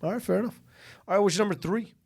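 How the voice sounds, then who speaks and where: A man co-hosting a casual chat about films, agreeable and quick to chime in. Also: high voice, vocal fry, a little mellow, slightly rough. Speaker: podcast co-host.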